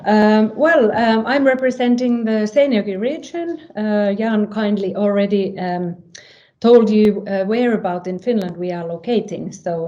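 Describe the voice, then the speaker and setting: A woman with a soft-edged, mellow voice presents informally and in a friendly way. Her pitch is average, and the video call sound is dull and lo-fi. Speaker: presenter, regional representative. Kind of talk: informal unscripted online presentation.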